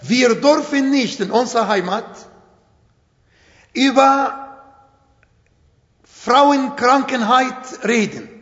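Prediger mit arabischem Akzent, hohe Stimme, langsam im Hall. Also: male voice, very high voice, fairly bright tone. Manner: slow, emphatic, non-native German phrasing. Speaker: preacher. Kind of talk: sermon. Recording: echoey hall, dull sound.

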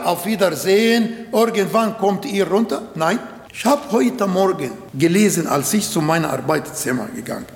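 A man whose first language is Arabic, preaching a sermon slowly and deliberately in German that is not his native tongue. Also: high voice. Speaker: pastor. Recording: echoey hall.

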